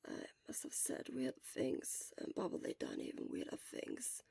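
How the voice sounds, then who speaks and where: A woman voicing a sick, weary teenage boy in a weak, breathy whisper, halting and embarrassed, for an audio story. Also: a bass voice, wildly swooping pitch, mellow, pitched below the performer's own voice, heavily acted. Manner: halting, faltering.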